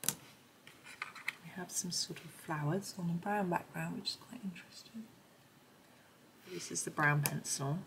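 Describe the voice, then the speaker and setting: A middle-aged woman with a slightly croaky voice, chatting casually and thinking aloud as she demonstrates something on camera. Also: lowish voice, unhurried, long pauses, whispered, low-quality recording. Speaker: host of a colouring supplies review. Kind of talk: casual chat while demonstrating.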